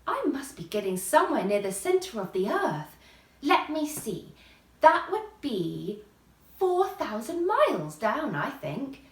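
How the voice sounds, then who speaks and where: Woman as Alice, a high, lilting, curious little girl. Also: sing-song, slow, echoey room, far from the mic, full character voice. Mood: wondering, chatty. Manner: musing aloud to herself, wondering.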